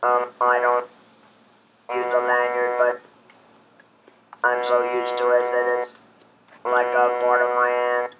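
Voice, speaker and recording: A man who talks through an electrolarynx, so his voice comes out as a buzzing electronic tone. He explains things in a relaxed, practical way and pauses often. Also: medium pitch, over loud music, poor audio. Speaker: laryngectomee sharing device tips. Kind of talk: casual explanation.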